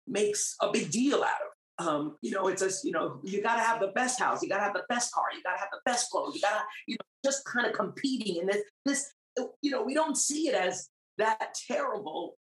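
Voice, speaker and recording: A middle-aged woman of Puerto Rican background tells her faith story as a podcast guest. She speaks earnestly and presses her points with rising emphasis. Her voice sits at an average pitch and carries a roomy echo. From Puerto Rican, raised in New York. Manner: earnest, grows emphatic and animated. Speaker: guest, singer. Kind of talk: faith testimony in a podcast interview. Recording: roomy, echoey sound.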